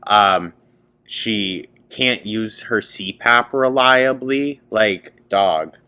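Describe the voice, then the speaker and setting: A man's voice: on the low side, extremely slow, sharp tone, clear, resonant tone. A man hosting a reaction show, giving casual, mocking commentary in an exasperated, slangy, incredulous way.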